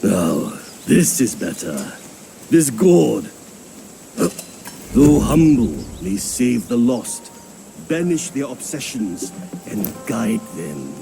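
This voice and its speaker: An actor playing a wise, solemn old wanderer who guides spirits in a fantasy game, speaking in measured, poetic phrases. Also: male voice, medium pitch, very animated, gravelly, dark tone, slight background noise, full character voice. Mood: grave, wistful. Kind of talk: fantasy game cutscene dialogue.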